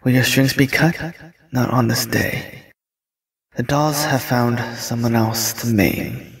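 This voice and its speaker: An actor playing a brooding, self-conscious young necromancer in a horror audio drama, speaking introspectively with a husky voice. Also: male voice, mid-range voice, fairly even intonation, slow pace, heavily acted. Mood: eerie, taunting. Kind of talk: audio drama inner monologue.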